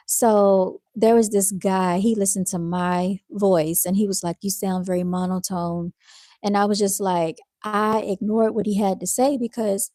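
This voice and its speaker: A woman with a soft-spoken, soothing voice who talks in a calm, unhurried way, hosting a casual live chat with her viewers. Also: mid-range voice, no room sound, studio quality. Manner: calm and unhurried, with fillers and restarts. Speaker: live-stream host reading viewer comments.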